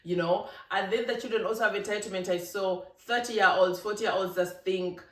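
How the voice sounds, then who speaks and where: A vlogger from Africa, giving an emphatic, animated opinion monologue with laughing asides. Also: medium pitch, moderate pace. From East Africa. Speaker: vlogger, channel host. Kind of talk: casual opinion monologue to camera. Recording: roomy, distant from the mic.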